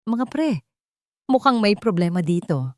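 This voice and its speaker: Young male Filipino mechanic, female narrator acting, audio romance story; calm, courteous, controlled, firm. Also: mid-range voice, unhurried, dry recording, studio quality, pitched above the performer's own voice. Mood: calm warning. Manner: quiet, polite, controlled.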